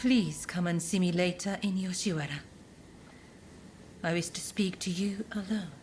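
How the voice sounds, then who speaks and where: An actress playing a serious, courteous and discreet woman informant with a measured, formal manner in a video game. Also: on the low side, background noise, natural voice. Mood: discreet, hushed. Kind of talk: dramatic video game cutscene acting.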